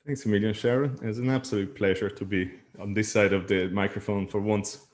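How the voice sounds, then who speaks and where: A man's voice: on the low side, steady tone, fairly quick, a little mellow. A man chatting as a podcast guest, telling stories in a reflective, easygoing way. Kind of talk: casual chat.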